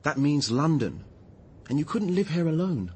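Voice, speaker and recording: An actor playing an earnest, gentle, hard-up idealist activist in a radio drama, mild and a little preachy. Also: male voice, on the high side, big pitch swings, normal speed, husky, full character voice. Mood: anxious.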